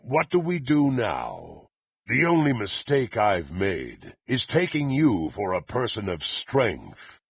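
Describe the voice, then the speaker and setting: Brutal fantasy game warrior, gruff n husky, slow haughty delivery, big swings in pitch, full of contempt. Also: male voice, fairly bright tone, no echo, clean studio sound, exaggerated voice. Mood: grim resolve. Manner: haughty, threatening, archaic formal diction. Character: brutal, menacing, bloodthirsty male warrior, contemptuous and impatient. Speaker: character in a fantasy video game. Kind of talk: theatrical fantasy game voice acting.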